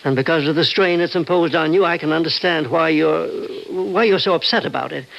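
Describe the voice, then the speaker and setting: A concerned doctor in a vintage radio play, fairly high-voiced with a gravelly creak. He reasons in an earnest, measured, halting way. The recording is dull and old. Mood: earnest, reassuring. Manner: earnest, hesitant, measured persuasion. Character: concerned, reasoning older male doctor and confidant. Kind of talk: old-time radio drama acting.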